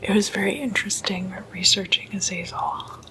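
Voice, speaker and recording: A woman speaking in a hushed ASMR whisper, unhurried and in short halting phrases, like an informal late-night lecture. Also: medium pitch, low-quality recording. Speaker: ASMR host.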